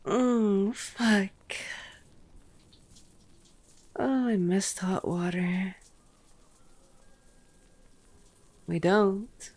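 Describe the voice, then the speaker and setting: A woman's voice: mid-range voice, drawn-out words, a little mellow. Sardonic, teasing vampire woman, acted out by a woman. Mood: relieved, content. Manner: sarcastic, teasing, swearing. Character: teasing, sardonic female vampire, playful and exasperated. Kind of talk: ASMR roleplay audio drama.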